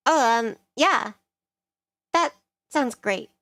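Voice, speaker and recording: A woman's voice: on the high side, lively intonation, drawn-out words, fairly bright tone, dry recording, clean studio sound, pitched above the performer's own voice, exaggerated voice. A woman voicing a polite, eager young public relations worker, earnest and a little hesitant, in a visual novel. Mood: hesitant, hopeful.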